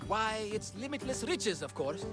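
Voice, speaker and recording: High-pitched flamboyant Greek showman. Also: very animated, a little slow. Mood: boastful pitching. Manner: grandiose showman delivery, flustered stammering when challenged. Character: flamboyant scheming Greek showman hawking monster trials. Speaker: carnival-style promoter. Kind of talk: theatrical video game acting. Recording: music behind the voice.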